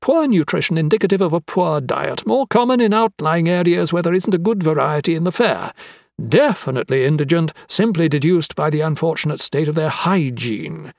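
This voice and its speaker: A man's voice: on the high side, expressive, brisk, gravelly, no echo, studio quality, pitched above the performer's own voice. A man voicing a cheerful, youthful, eccentric anatomist in an audiobook, effusive and chatty with a macabre relish. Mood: eager, chatty.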